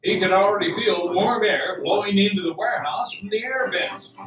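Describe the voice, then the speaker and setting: A man's voice: sits high, moderate pace, fairly bright tone. A man narrating a scripted audio drama in a theatrical, suspenseful storytelling style. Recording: roomy, distant, music underneath.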